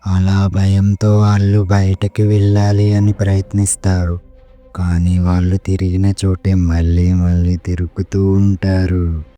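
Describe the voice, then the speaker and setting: A man's voice: low-pitched, completely flat delivery, unhurried, mellow. A man narrating a scripted horror story as its storyteller. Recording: music behind the voice.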